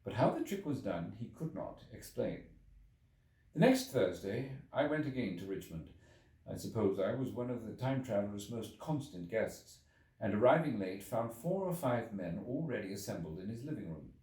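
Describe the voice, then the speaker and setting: A man reading a literary audiobook expressively, with measured, theatrical phrasing. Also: fairly low pitch, sing-song, dark tone. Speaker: audiobook reader. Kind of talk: expressive scripted literary narration. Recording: echoey room, distant from the mic.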